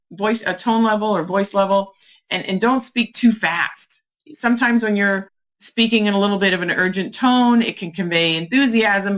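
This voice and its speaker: Woman, slightly low voice, podcast coach, instructional monologue, emphatic, repeats key points. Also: fairly bright tone, low-quality recording. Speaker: career coach podcast host.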